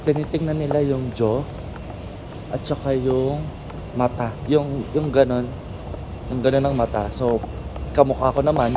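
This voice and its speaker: A Filipino man chatting casually and informally as a travel vlogger, switching between two languages. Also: medium pitch. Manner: informal, mixes Tagalog and English. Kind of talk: casual vlog chat. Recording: very noisy handheld travel recording, ambient din.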